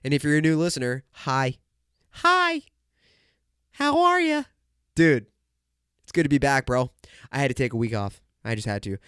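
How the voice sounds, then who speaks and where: A young male podcast host with a stuffed-up, nasal voice rattles on in a loud, jokey rant, throwing "bro" and "dude" into almost every line. His pitch sits right in the middle of the range. The recording is dry and close, with almost no room sound. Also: big pitch swings, normal speed, long pauses, clean tone, dry recording. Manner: hyped, joking, full of "bro" and "dude". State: congested, nasal. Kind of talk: casual solo podcast rant.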